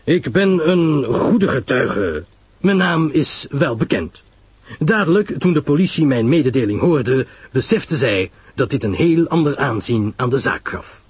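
A man voicing a grave, self-assured older detective who explains his reasoning in a measured, emphatic way, in a radio story. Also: mid-range voice, very animated, breathy edge, no room sound, heavily acted.

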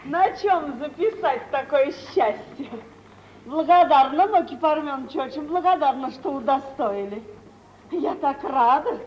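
A woman's voice: high-pitched, expressive, a little slow. An actress playing a fawning middle-aged mother with effusive, deferential manners, in an old theatrical radio play. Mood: fawning welcome. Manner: effusive, deferential, exaggerated politeness. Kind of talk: 1940s theatrical radio acting. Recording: dull, noisy vintage radio play recording.

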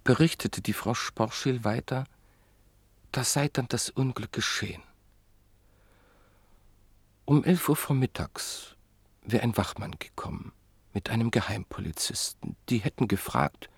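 A man narrating a literary radio drama in a measured storytelling manner. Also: mid-range voice, animated, slightly rough, no room sound. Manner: measured storytelling, reporting speech indirectly.

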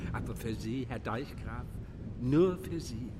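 A man in a German radio play acting a sly, boastful village fiddler who trades horses and tells halting tales. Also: mid-range voice, lively intonation, noisy background, lightly acted. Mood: wheedling. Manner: halting, boastful, mock-servile.

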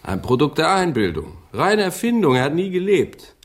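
A formal, disapproving doctor in a period radio drama. His voice is husky and moves expressively through dry, pedantic sentences. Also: fairly high pitch, sing-song, moderate pace.

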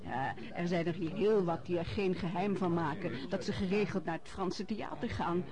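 An actress playing an effusive, bossy and affected high-society hostess in a radio play set in imperial Russia. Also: female voice, normal speed, close to an everyday voice. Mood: gushing. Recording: busy room chatter behind the voice.

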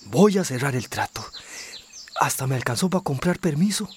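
A man's voice: mid-range voice, very animated, normal speed, slight background noise, lightly acted. An actor playing an eager, humble would-be migrant worker in a radio drama, deferential to his neighbour. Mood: excited, hopeful.

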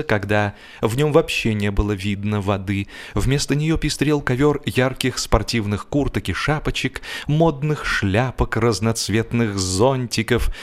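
A man reading a humorous story as an audiobook narrator, in an expressive, measured storytelling manner. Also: mid-range voice. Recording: music behind the voice. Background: instrumental music.

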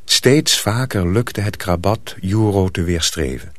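A man's voice: low voice, animated, measured pace, a bit bright, no echo. A man narrating a radio drama as a scripted storyteller.

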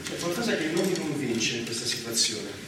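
Man acting a brisk general, very echoey. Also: mid-range voice, big pitch swings, lightly acted. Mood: casual, commanding. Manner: casually giving orders. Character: commanding general, brisk and informal with his subordinate. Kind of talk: naturalistic Italian stage drama. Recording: very echoey, distant, loud noise.

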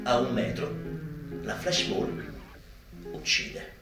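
Theatrical man, very animated, over guitar. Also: fairly high pitch, gravelly, reverberant. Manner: ironic, emphatic, dramatic pauses. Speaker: stage performer. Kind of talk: theatrical satirical monologue in a live show. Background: live guitar music.

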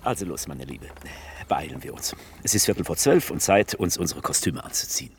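An actor playing an urbane, commanding French duke in a radio drama, speaking with genteel, grand politeness. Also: male voice, sits low, sing-song, fairly quick, full character voice. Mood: brisk, urging. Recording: clear vehicle and ambient noise.

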